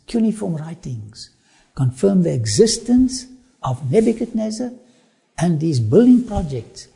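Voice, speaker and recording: A man with an Afrikaans accent presents a travel documentary, leaning into each phrase with slow emphasis. His voice sits a little high but sounds dark and husky, with a gravelly edge. He drifts into sermon-like asides.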